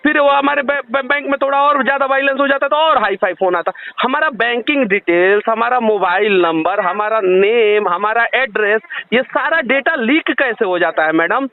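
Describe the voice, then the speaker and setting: A man with a very high-pitched voice and a Bihari Hindi accent, heard over a tinny phone line. He argues insistently, piling up sarcastic questions as he makes a heated complaint. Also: normal speed, few pauses. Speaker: caller confronting a loan telemarketer. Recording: tinny, narrowband phone call recording.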